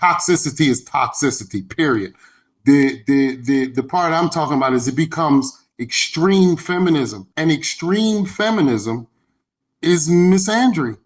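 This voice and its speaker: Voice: medium pitch, lively intonation, a little slow. A panelist on a live stream with a mid-range voice. They make their point insistently, stumbling over restarts as they push on. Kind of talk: casual live-stream debate.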